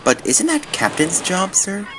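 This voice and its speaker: A man's voice: high-pitched, huge pitch swings, unhurried, sharp tone, slight background noise, heavily acted. A man playing an earnest, wistful little sailboat in an amateur audio drama, speaking expressively and politely. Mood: surprised.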